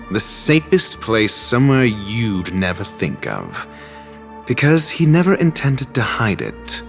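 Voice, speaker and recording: A haughty male scholar from a video game, coolly exasperated and erudite. His delivery is slow and deliberate, with an animated pitch that swings through dry, condescending phrases. Music plays behind the formal, sardonic speech. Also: exaggerated voice. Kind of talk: video game cutscene voice acting. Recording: game dialogue over background music. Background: background music.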